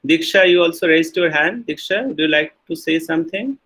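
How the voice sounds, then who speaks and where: A man's voice: fairly high pitch, a little fast, a bit bright, husky, rough recording. A man with an Indian English accent teaching a university class online, speaking with many fillers and calling on students to answer. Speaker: university lecturer.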